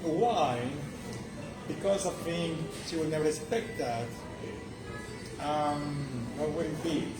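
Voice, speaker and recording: Guy improvising a story, very lively, far from mic, music in the back. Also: fairly high pitch, takes it slowly, pauses often. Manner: animated, acts out dialogue. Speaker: contest participant. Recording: echoey room from afar, music behind.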